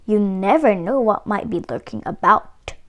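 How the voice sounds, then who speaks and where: A woman voicing a sly, greedy male wolf for a storybook, with suave, wheedling, genteel manners and drawn-out words. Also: medium pitch, lively intonation, a bit bright, natural voice. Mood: suave coaxing. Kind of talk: storybook read-aloud character voice.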